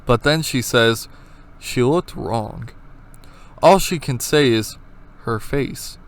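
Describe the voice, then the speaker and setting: A man with a medium-pitched voice narrates horror commentary. He explains things step by step in informal wording. Casual asides break up the narration. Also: slow pace. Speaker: video essayist, horror series analyst.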